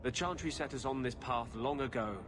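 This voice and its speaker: A male actor as a brooding rebel mage in a video game. His mid-pitched voice is earnest, grave and intense, with clear ambient noise behind him. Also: brisk, lightly acted. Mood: bitter, grave. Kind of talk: video game cutscene acting.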